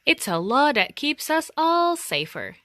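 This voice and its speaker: A woman's voice: high-pitched, sing-song, unhurried, fairly bright tone, dry recording, studio quality, voice pushed up, exaggerated voice. A woman voicing an earnest, rule-minded male state trooper in a children's storybook, firm and instructive.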